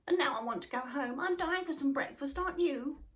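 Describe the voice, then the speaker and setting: A woman voicing a small, sleepy, grumpy male creature in a storybook reading, with a high, squeaky voice. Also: fairly quick, close to an everyday voice. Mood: eager.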